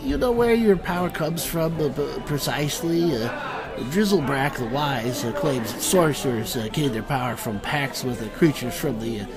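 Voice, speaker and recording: A man acting a bookish, awkward fantasy adventurer in a comic audio drama, speaking in a halting, stammering, academic way. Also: mid-range voice, animated, natural voice. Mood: curious, flustered. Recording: music under the voice.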